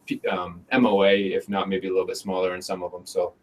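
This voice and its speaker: A man from Canada co-hosting a casual podcast, talking in a relaxed, rambling, joking way in a small room. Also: sits low, fast, husky. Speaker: podcast co-host. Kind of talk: casual chat about shooting. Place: small bedroom, indoors.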